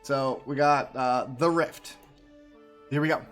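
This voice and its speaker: A man's voice: mid-range voice, fairly even intonation, slow, fairly bright tone, faint music behind. A man giving casual reaction commentary as a game streamer, with jokey muttered asides and repeated words.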